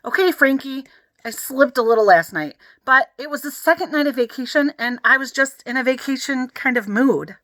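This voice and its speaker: A woman's voice: sits high, lively intonation, normal speed, fairly bright tone, dry recording, pitched above the performer's own voice. A woman voicing a wisecracking, defensive schoolboy in a children's story, casual and cheeky.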